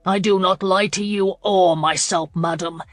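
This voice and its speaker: A woman's voice: fairly low pitch, steady tone, unhurried, dry recording, soft background music, close to an everyday voice. A woman narrator voicing a proud, bitter aristocratic man, speaking in a clipped, acid, contemptuous way. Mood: furious. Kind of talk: audiobook character voice.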